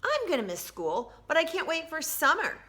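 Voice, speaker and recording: A woman's voice: high-pitched, wildly swooping pitch, normal speed, bright, forceful tone, voice pushed up, heavily acted. A woman voicing eager young schoolchildren from a picture book with earnest, childlike chatter. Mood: wistful, excited.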